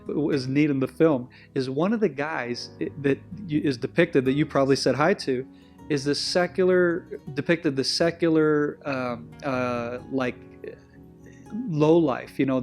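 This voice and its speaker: A man's voice: on the high side, darkish tone. Israeli-accented man telling stories. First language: Hebrew. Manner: rambling, self-correcting, with frequent restarts. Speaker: guest. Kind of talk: conversational interview storytelling.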